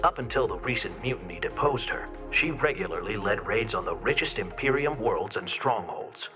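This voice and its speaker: Guy doing a theatrical space senator, cheeky, teasing, pitch all over the place, music behind. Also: lively intonation, normal speed, close to an everyday voice. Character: flamboyant, mischievous male senator, secret rebel ally. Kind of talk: scripted video game dialogue.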